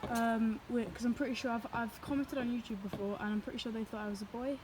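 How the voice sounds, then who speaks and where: A woman's voice: fairly high pitch, fairly even intonation, fast talker, background noise. Rambling woman vlogging outdoors. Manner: chatty, rambling, apologetic, many fillers. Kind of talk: casual vlog while biking and walking. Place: outdoors in the countryside. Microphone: phone held or carried.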